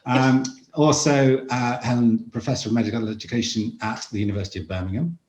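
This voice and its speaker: A man's voice: medium pitch, fairly even intonation, brisk, a little mellow, a little hiss or hum. A man hosting a webinar, introducing his guest in a friendly, easygoing way over a video call. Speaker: webinar host. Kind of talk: interview introduction. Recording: dull, roomy video call audio.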